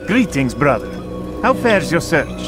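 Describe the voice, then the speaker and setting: Game mentor: concerned bureau leader, somewhat high voice, formal, music underneath. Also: male voice, sing-song, unhurried. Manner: formal, cautioning. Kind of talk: dramatic video game acting. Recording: music behind the voice.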